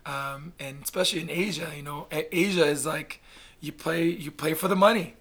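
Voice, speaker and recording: A middle-aged man from Canada telling his story in a casual interview, blunt and animated, swearing freely. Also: fairly high pitch, moderate pace. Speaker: guest, basketball player.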